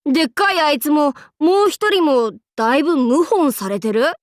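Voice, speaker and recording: A woman's voice: high voice, lively intonation, normal speed, dry recording, clean studio sound. A woman voicing a boisterous female demon king warlord in a Japanese anime game, speaking in a haughty, archaic, theatrical way. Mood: teasing. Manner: haughty old-fashioned lordly speech, theatrical battle cries.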